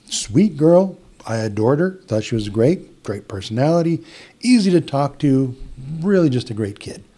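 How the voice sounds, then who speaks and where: A middle-aged man with an Upper Midwest American accent, recounting a client story in a relaxed, chatty way. His voice is warm and mellow, and he keeps restarting phrases and acting out bits of dialogue. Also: medium pitch. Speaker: hypnotist guest. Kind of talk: casual storytelling in an interview.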